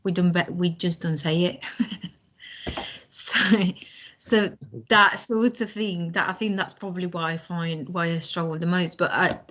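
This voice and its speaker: Slow, hesitant woman. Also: fairly low pitch, steady tone, a bit bright, a little hiss or hum. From Spain. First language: Spanish. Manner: hesitant, many fillers and restarts. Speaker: language student guest. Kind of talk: casual podcast conversation.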